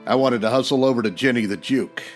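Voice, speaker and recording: An actor playing a gruff, sardonic 1940s private detective in a radio comedy parody, terse and full of tough-guy slang. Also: mid-range voice, fast talker, faint music behind, natural voice. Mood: terse, wary. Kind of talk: old-time radio detective parody with narration.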